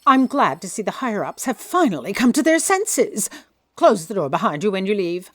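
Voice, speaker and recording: A woman's voice: medium pitch, sing-song, normal speed, fairly bright tone, no echo, clean studio sound. A woman playing a haughty, indignant chief editor in a comic audio drama, pompous and commanding. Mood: imperious.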